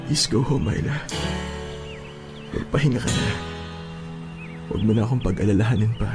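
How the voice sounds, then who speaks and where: A man's voice: fairly low pitch, normal speed, natural voice. A man acting a young man in a radio drama, firmly and wearily turning away his ex-girlfriend. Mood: exasperated pleading. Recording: music under the voice.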